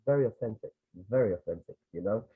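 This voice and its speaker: A man's voice: lowish voice, animated, fast, breathy edge. A Scottish man from Edinburgh, a tour guide and photographer, chatting in a rambling way with many restarts and asides. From Scotland. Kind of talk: casual storytelling on a video call. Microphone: video call. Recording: tinny phone-like video call audio.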